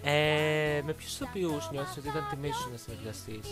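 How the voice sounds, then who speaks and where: A woman asks casual interview questions and encourages her guest in an informal way with brief interjections. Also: low voice. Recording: voice with music playing clearly behind. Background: music.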